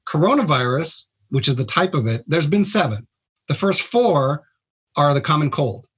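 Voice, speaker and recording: Husky-voiced plain-spoken doctor. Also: male voice, on the high side, very animated, a bit bright. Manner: plain-spoken, informal, explains in quick bursts. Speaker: hospital chief of staff doctor, guest. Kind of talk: casual explanatory radio interview.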